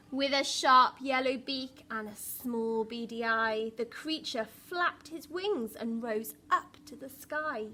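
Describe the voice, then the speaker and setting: A woman's voice: sits high, sharp tone, a little hiss or hum. A woman primary school teacher reading a picture book expressively to young children, with dramatic emphasis and questions to the listeners.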